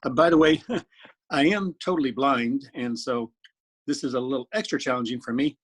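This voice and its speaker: A man introducing himself as a guest on a video call, relaxed and plain-spoken. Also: mid-range voice, moderate pace, breathy edge. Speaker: guest club member.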